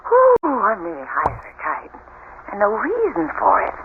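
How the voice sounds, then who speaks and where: An actress playing a fragile, overwrought young bride in an old radio drama, speaking haltingly. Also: female voice, medium pitch, huge pitch swings, noisy background. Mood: woozy. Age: young adult. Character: frightened, fragile young bride in a haunted house. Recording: phone-like old radio recording with loud music.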